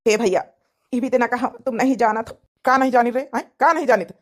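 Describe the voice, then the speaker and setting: A woman's voice: on the high side, a little fast, natural voice. A woman voicing an earnest, emotional village man in a cartoon family drama, insistent and repetitive, with an Awadhi accent.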